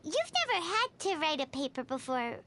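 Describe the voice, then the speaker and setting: A woman voicing a tiny, excitable, food-loving female fairy companion in a video game, squeaky and childlike, bubbly and exclaiming. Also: normal speed. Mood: cheery. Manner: speaks of self in third person, exclaiming, bubbly. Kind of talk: video game character dialogue.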